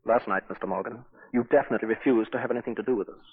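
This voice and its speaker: A man's voice: mid-range voice, steady tone, very fast, close to an everyday voice. An actor playing a wounded, earnest French resistance man, speaking formally and urgently in a 1940s radio drama. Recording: tinny, muffled 1940s radio recording.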